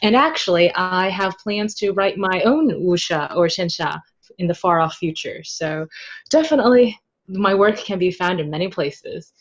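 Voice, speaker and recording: A woman's voice: lowish voice, expressive, moderate pace, dull audio. A woman chatting casually as an interview guest, playful and jokey as she explains things. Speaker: podcast guest, translator. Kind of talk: casual, animated interview chat.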